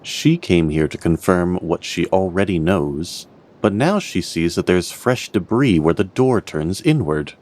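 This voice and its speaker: A man narrating a scripted fantasy story in a measured, literary way. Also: deep voice, expressive, darkish tone.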